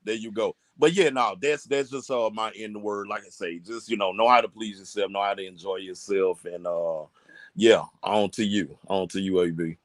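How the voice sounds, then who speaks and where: A man's voice: lowish voice, fairly even intonation, moderate pace, dry recording. A man co-hosting a casual podcast, giving blunt, slangy advice and cursing freely. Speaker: podcast co-host.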